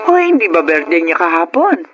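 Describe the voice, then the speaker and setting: A performer playing a caring, inquisitive mother of a grown daughter, animated and expressive, in a Tagalog radio drama. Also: mid-range voice, a little fast, a crowd in the background, close to an everyday voice. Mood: caring, surprised. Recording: faintly noisy radio drama audio.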